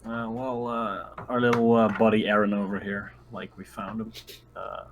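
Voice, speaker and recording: Low-pitched casual man, slightly noisy recording. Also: flat delivery, moderate pace, vocal fry, lo-fi sound. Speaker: tabletop game player. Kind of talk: casual chat.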